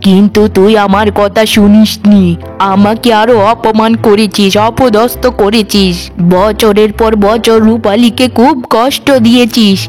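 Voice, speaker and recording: A woman's voice: mid-range voice, fairly even intonation, measured pace, a little mellow, soft background music, pitched below the performer's own voice, natural voice. A woman voicing a stern old village woman in a cartoon, scolding and moralising. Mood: scolding, vengeful. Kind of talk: melodramatic cartoon dialogue.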